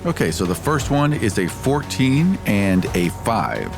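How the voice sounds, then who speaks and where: A man's voice: fairly low pitch, huge pitch swings, measured pace, creaky. A man playing a tabletop game, casually describing his moves and calling out dice results. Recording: music bed under the voice.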